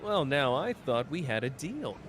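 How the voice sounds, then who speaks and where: A man's voice: medium pitch, big pitch swings, moderate pace, noisy background, close to an everyday voice. An actor playing a smug, teasing young man who mocks his rival with a sing-song manner in an audio roleplay. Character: smug teasing young male mafia-hunter, playful and manipulative. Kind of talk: ASMR roleplay acting.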